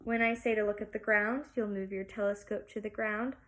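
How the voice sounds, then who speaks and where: A woman's voice: mid-range voice, fairly even intonation, fairly quick, fairly bright tone. A woman teacher reading aloud to young children in an exaggerated, singsong storytelling manner, often putting questions to them.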